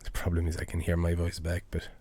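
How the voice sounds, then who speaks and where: A low-voiced man chatting casually as he works through a live music-making tutorial, halting and thinking aloud. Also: monotone. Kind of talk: casual tutorial chat while working. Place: indoors at a computer.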